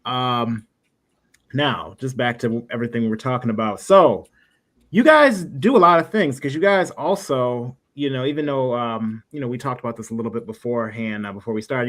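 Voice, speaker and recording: A man's voice: medium pitch, fairly bright tone. A man hosting a casual interview, talking in an encouraging, conversational way. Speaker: interview host.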